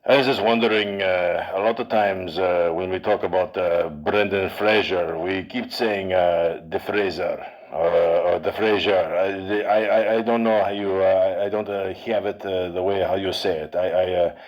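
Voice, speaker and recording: A man's voice: sits low, steady tone, moderate pace, hardly any pauses, muffled recording, pitched below the performer's own voice, lightly acted. A man doing a Greek accent as a flustered diner worker calling into the show, stumbling over every sentence. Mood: flustered, rambling. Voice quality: hesitant, stumbling, full of "uh". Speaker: caller.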